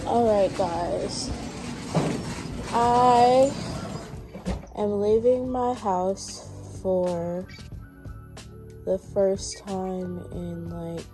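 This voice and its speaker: Young female vlogger, stuffy sick voice, unhurried filler-heavy chat, mid pitch. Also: steady tone, poor audio. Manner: rambling, filler-heavy, talking to viewers. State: congested, sick. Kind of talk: casual vlog chat. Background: loud background music.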